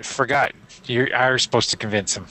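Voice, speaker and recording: A man's voice: medium pitch, moderate pace, bright, forceful tone, low-quality recording. A man casually explaining a game and coaching another player in an offhand way. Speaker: podcast co-host guiding a player. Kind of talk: casual chat, game walkthrough.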